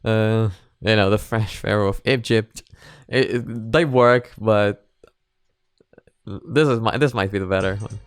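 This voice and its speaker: A male reaction host talks casually through his analysis. His voice is clean and smooth, recorded dry and close, and he circles back to rephrase as he thinks. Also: lowish voice, measured pace, no room sound.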